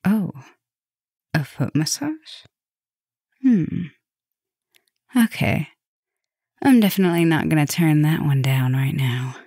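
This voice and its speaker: A woman playing a flirtatious, caring, softly dominant vampire professor in an intimate roleplay ASMR, speaking softly and breathily with teasing drifts into whispers. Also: low-pitched, slow, long pauses, husky, dry recording, clean studio sound, heavily acted. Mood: weary, soothed.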